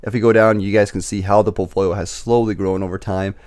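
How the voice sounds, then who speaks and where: A young man hosting a casual investing video, talking through his picks with lots of fillers and self-corrections, his voice slightly raspy as he gets over being sick. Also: lowish voice, steady tone, fast talker, darkish tone. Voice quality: slightly raspy, recovering from illness. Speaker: dividend investing channel host. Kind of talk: casual screen-share commentary.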